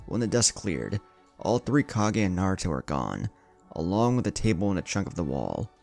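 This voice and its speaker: A man's voice: sits low, very animated, normal speed, vocal fry, darkish tone, soft background music. A man narrating an anime fan story in a dramatic scripted style.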